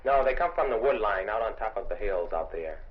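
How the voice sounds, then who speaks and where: A man's voice: fairly low pitch, animated, brisk. A man, an army sergeant, answering interview questions in a plain, matter-of-fact way on an old field recording. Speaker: army sergeant, border observer. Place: observation tower. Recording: dull, noisy vintage field recording.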